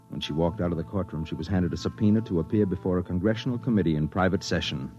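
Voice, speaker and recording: A man's voice: low-pitched, a little mellow, muffled recording, close to an everyday voice. An actor playing a newspaper reporter who narrates his story in a 1950s radio drama. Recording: 1950s radio broadcast with music.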